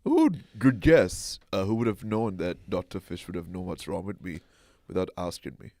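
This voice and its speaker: Deadpan fish patient, low, hesitant, improvised, played by a man. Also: moderate pace, dry recording. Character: male fish patient with sore hips. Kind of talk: improvised comedy sketch.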